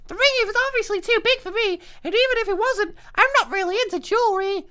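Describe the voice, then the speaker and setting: A man voicing an excitable small anime girl from a visual novel, squeaky and exaggerated. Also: fast talker. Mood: let down.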